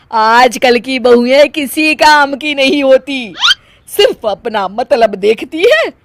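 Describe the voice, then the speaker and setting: Woman acting a stubborn middle-aged cartoon mother, very high voice, unhurried, theatrical and whiny. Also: dry recording. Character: melodramatic, gullible, stubborn middle-aged Indian mother-in-law. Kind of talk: animated moral story acting.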